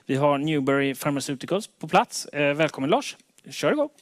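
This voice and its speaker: A man hosting an investor event, introducing speakers in a relaxed way with many fillers. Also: a little high, a little fast, no room sound, studio quality. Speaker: event host. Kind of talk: moderating a stage program.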